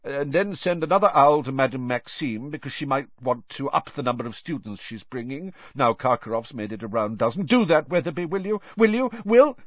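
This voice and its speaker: A man's voice: medium pitch, expressive, a little fast, few pauses, dry recording, pitched above the performer's own voice, lightly acted. A man voicing a confused, desperate older official who rambles and gasps out broken phrases. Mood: rambling. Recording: dull, slightly lo-fi recording.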